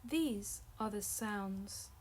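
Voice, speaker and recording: A woman narrating a guided meditation as a teacher, in measured short phrases separated by long pauses. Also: medium pitch, a little mellow.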